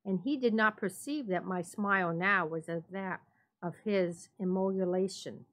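A woman narrating a classic story as a dramatic reading, measured and deliberate. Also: mid-range voice, a bit bright.

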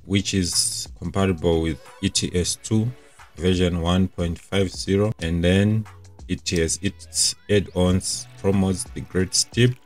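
A man's voice: sits low, fairly bright tone. A young man presenting a casual step-by-step tech tutorial in an informal, explanatory way.

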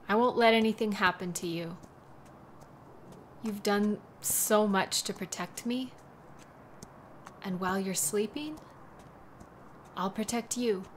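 A woman performs a devoted young woman in an audio roleplay drama. She talks slowly and haltingly, at a middle pitch, with a wistful, protective tenderness. Faint ambience sits behind the voice. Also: a little hiss or hum, lightly acted. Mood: tender, gentle. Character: devoted, worried young woman caring for a sleeping kitsune.

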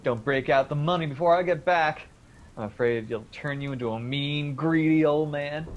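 An actor playing a cheeky, teasing, sceptical young grown son in a radio drama. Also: male voice, on the high side, normal speed, muffled recording, close to an everyday voice. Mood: playful, breezy. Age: young adult. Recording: faint background noise and ambience.

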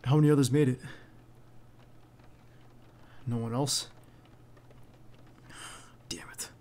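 A man playing a protective, earnest bodyguard in an ASMR roleplay, speaking haltingly and insistently straight to the listener. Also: medium pitch, steady tone, mellow, lightly acted. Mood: alarmed. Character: protective, earnest young male bodyguard to a crime boss. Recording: clear background noise under the voice.

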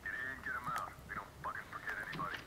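A man's voice: on the low side, steady tone, racing, pushed and bright, breathy edge, full character voice, low-quality recording. A man playing a terse, hard-bitten covert agent giving mission orders in a military shooter game. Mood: urgent, commanding.

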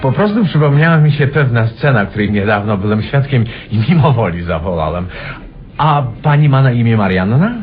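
An actor as a curious, animated polite gentleman. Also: medium pitch, fairly quick, lightly acted. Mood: polite, casual. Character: curious, polite male listener in a cafe. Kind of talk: vintage radio play acting. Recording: dull old radio recording with music.

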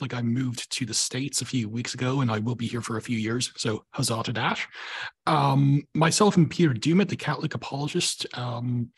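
A man's voice: mid-range voice, breathy edge. A man hosting a podcast interview over a video call on a headset mic, chatty with many fillers. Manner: chatty, many ums and likes. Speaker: podcast host. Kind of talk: casual interview. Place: home room, video call.

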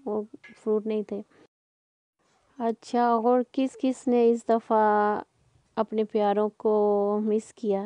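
A middle-aged woman talks through home cooking in a loose, conversational way. Her voice sits a little high but is warm and dark in tone, with little melodic movement. The sound is close and dry, like an informal voice-over. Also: dry recording, lo-fi sound. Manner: conversational, rambling. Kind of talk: casual chatty cooking voice-over.

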